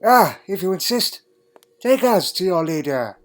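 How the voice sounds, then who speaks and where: A man's voice: high-pitched, sing-song, a little slow, faint music behind. A man playing a crotchety, dry-witted elderly time traveller in a fan audio drama, fussy and full of questioning hums. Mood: tetchy, musing. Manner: fussy, punctuated with questioning hmms.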